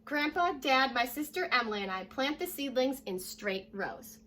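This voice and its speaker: A young American woman reading a children's story aloud like a teacher, addressing young listeners directly in a lively way. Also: high-pitched, moderate pace, a little hiss or hum. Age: young adult. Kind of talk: children's read-aloud with short asides. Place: living room at home, indoors.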